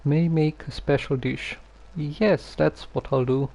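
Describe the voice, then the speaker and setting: Very slow-talking man streaming a game. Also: on the high side, fairly even intonation, creaky, a little mellow. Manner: halting, muttering to himself. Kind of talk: casual live gameplay commentary.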